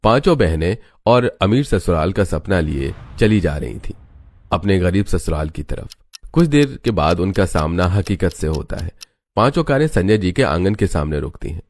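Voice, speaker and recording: A man's voice: sits low, very animated, moderate pace, a little mellow, no echo. A man reading scripted moral-story narration as a storyteller.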